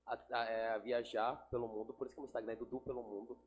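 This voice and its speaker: A man's voice: medium pitch, racing. A man, a guest chatting casually in a podcast interview. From Salvador, Brazil. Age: 30s. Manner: relaxed, chatty, laughs easily. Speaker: guest, digital influencer.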